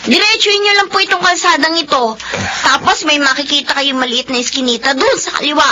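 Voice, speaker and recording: A woman's voice: sits high, brisk, bright, forceful tone, a little hiss or hum. An actress playing a polite, helpful young Filipino girl in a radio drama. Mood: helpful, curious. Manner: polite, deferential to elders.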